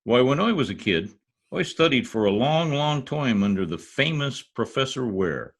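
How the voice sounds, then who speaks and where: Radio comedy husband, played by a man: cheerful folksy braggart, husky, swooping delivery. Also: mid-range voice, sing-song, normal speed. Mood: grandly boastful. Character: boastful wisecracking husband, cheerful blowhard. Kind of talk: old-time radio comedy acting. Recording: home video-call recording.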